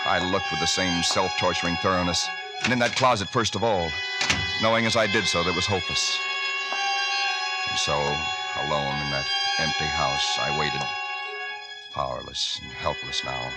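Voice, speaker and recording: A haunted husband narrating. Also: male voice, a bass voice. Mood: dread. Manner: tense, haunted first-person narration. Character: frightened husband narrating his ordeal. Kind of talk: 1940s radio drama acting. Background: music.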